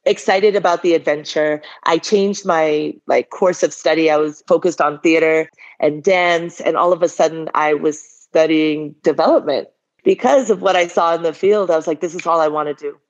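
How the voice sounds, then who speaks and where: Lively woman in her forties, lo-fi sound. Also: low-pitched, normal speed. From Liberia. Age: 40s. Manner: lively, candid, laughs often. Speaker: guest. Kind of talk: casual interview storytelling. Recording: dull lo-fi audio.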